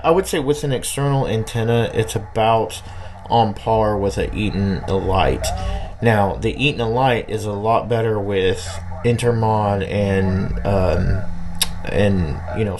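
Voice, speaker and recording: Low-voiced man rambling through a review. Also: a little mellow. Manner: rambling, hesitant, trailing off. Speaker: radio hobbyist reviewing gear. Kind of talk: casual unscripted product review. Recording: faint background noise.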